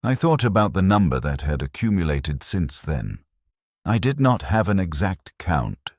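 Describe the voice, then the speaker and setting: A man narrating a scripted first-person military story in a detached, measured, clinical manner. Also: low voice, sing-song, no room sound, clean studio sound.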